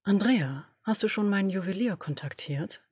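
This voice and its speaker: A woman voicing an imperious, domineering female boss who talks in brisk, commanding, dismissive orders. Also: mid-range voice, animated, breathy edge, no echo, studio quality. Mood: cool, commanding. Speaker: podfic reader. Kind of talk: German audio drama reading.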